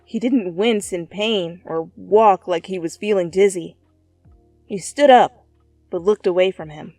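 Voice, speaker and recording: A woman reading fan fiction aloud as an expressive, theatrical second-person narration. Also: on the low side, measured pace, no echo. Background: music.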